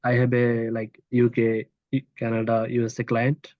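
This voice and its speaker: A man's voice: mid-range voice, monotone, normal speed, dry recording. A man from Bangladesh with a Bangladeshi accent, a freelancer speaking hesitant English with many fillers on a video call. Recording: dull dry video call audio.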